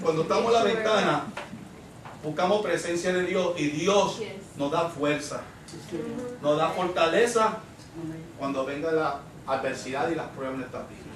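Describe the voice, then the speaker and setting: Puerto rican guy preaching bible study, high voice, really emphatic, repeats himself, roomy echo away from the mic. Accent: Puerto Rican Spanish. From Puerto Rico. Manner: emphatic, repeats phrases, asks the congregation rhetorical questions. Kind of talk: Pentecostal Bible-study sermon. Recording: roomy echo, distant microphone.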